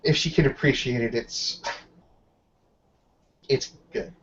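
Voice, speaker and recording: A man's voice: mid-range voice, takes it slowly, fairly bright tone, slightly rough, highs cut off. A man improvising narration as game master of a tabletop roleplaying session.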